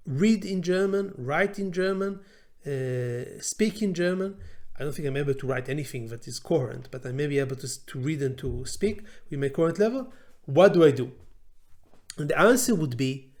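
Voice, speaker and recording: A man's voice: on the high side, a little mellow. A man in his forties whose native language is Hebrew, a language teacher talking informally with many fillers and restarts. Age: 40s. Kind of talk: informal talk to camera.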